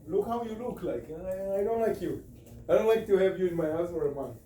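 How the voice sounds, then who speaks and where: Israeli guy teaching sales, animated, heard from far off with a faint hiss. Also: high-pitched, a little fast, roomy sound. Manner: animated, talks with his hands while pacing. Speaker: sales trainer. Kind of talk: sales training session. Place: small office room with whiteboard. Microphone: far camera.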